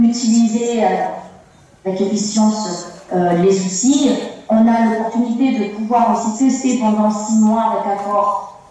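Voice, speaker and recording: A woman giving a spoken progress report to an assembly, speaking hesitantly with very frequent filled pauses. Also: medium pitch, dark tone, slight background noise. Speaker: Rotary club representative giving a project report. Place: remote phone call heard through a large echoey room. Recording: distant, very echoey phone call played in hall.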